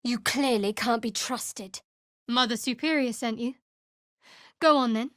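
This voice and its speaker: A woman playing a guarded, wry fantasy cleric adventurer in a video game, with a dry, measured, faintly sardonic delivery. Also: fairly high pitch, a bit bright, dry recording. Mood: cool, distrustful.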